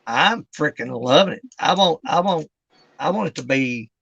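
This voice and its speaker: A man in his fifties hosting a casual chat, easygoing and quick to laugh, often stumbling over restarts. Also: on the high side, lively intonation, creaky, a bit bright, husky. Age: 50s. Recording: dry, dull lo-fi sound.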